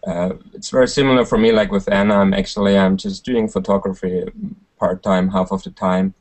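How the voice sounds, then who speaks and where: A young man talks on a webcam call from a small room, relaxed and a little halting as he explains his work. His voice sits very low for his age. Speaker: panel guest, researcher. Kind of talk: casual video call chat.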